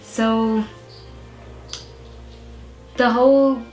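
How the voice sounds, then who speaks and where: A woman's voice: a little high, little pitch movement, very slow, a little mellow. Woman, young, South African accent, rapper in an interview, outspoken, emphatic, echoey room, faint music behind. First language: English. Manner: outspoken and emphatic, with frequent "you know". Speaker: rap artist being interviewed. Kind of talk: casual interview talk.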